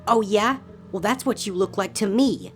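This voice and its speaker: A man voicing an angry young girl pony with indignant, shrill outbursts in a dramatic story reading. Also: moderate pace, soft background music, close to an everyday voice. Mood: defiant. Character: angry young filly, little girl pony full of rage. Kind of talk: dramatic fan fiction character reading.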